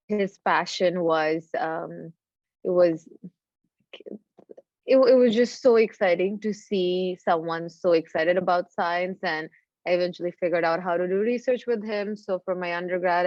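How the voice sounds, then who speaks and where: A woman from Bangladesh giving an informal personal career talk as a startup founder, with hesitant, halting phrasing. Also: medium pitch. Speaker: deep tech startup founder, guest speaker. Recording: roomy, slightly dull lo-fi sound.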